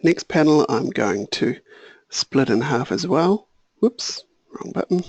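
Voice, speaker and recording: A man walking through a software tutorial, thinking aloud and correcting himself, a little hoarse. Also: fairly high pitch, slow pace, no echo. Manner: relaxed, thinking aloud, small self-corrections. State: slightly hoarse, clearing throat. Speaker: software trainer. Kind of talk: screen-share software tutorial.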